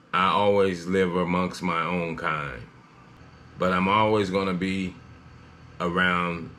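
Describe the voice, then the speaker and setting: Male news commentator, low-pitched, slightly halting read, personal opinion style. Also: fairly bright tone.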